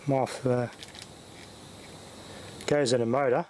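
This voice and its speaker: A man's voice: medium pitch, steady tone, measured pace, a little mellow, a little hiss or hum. A man giving casual, off-the-cuff repair commentary as a hobbyist tinkerer, muttering to himself in short fragments as he thinks aloud.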